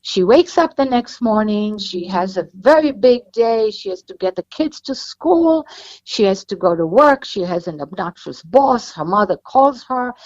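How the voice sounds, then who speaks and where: Israeli-accented woman, author guest, mid-pitched, talks on and on, muffled line. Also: lively intonation, unhurried, darkish tone. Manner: chatty, explains at length with restarts.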